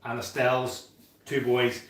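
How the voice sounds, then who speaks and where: A man in his fifties hosts a relaxed home-brewing livestream, reading viewer comments aloud and laughing easily. He sits back from the mic, so his voice sounds distant in an echoey room. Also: mid-range voice, a little slow, a little hiss or hum. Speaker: livestream host.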